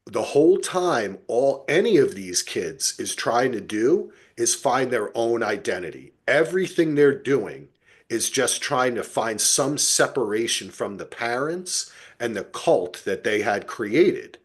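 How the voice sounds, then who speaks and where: A man hosts a casual TV recap podcast in a medium-pitched voice. His intonation swings widely as he talks emphatically, slipping into quoted asides to tell his story. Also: sing-song, measured pace. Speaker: podcast host.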